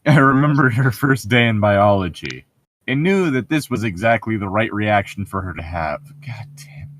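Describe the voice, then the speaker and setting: Low-voiced, animated man, sarcastic co-host. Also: normal speed, a little hiss or hum. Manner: sarcastic, profane. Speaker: co-host of a book read-along show. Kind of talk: casual mocking chat with read-aloud passages.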